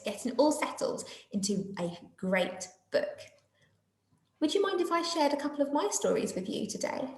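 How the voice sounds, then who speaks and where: A woman with a high voice reads a children's story to young listeners. Her delivery is slow and dramatic, with lots of melodic movement, and the room echoes around her. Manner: addresses young listeners directly with questions, drawn-out dramatic delivery. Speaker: primary school teacher reading stories to pupils. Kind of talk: expressive children's storybook reading.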